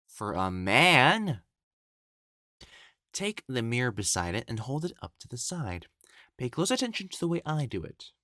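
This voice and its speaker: A man's voice: mid-range voice, very animated, moderate pace, pauses often, sharp tone, dry recording, studio quality. A man voicing an elegant, effeminate male matchmaker in a scripted audio roleplay, prim and formal, haughty yet easily flustered. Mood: haughty, instructive.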